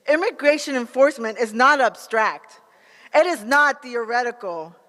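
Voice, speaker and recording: A man with an American accent giving a prepared advocacy speech in an earnest, measured manner. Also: extremely high pitch, a bit bright, long reverb tail. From United States, born in Peru. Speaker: national organizer, advocate.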